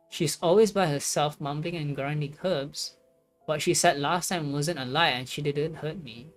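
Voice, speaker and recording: A woman livestreaming a game, reading its text aloud and musing on it in a halting way. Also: deep voice. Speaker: game streamer. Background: game music.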